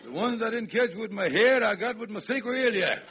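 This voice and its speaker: An actor playing a pompous, blustering henpecked husband in a 1950s radio sitcom, indignantly mangling big words. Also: male voice, sits high, animated, normal speed, very noisy. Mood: aggrieved. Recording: vintage radio, faint music.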